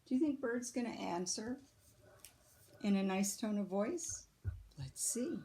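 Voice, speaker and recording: A woman reading a picture book aloud to children like a teacher, deliberate and expressive, pausing to explain. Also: mid-range voice.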